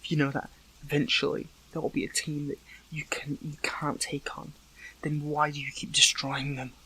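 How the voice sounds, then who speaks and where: A man playing an earnest, hurt captive superhero in an audio roleplay, speaking in halting, pleading phrases. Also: on the high side, completely flat delivery, bright, forceful tone, husky, heavily acted, poor audio. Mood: confronting, tense. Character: captive male superhero, earnest, hurt, questioning an old friend. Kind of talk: dramatic audio roleplay acting, one side of a dialogue.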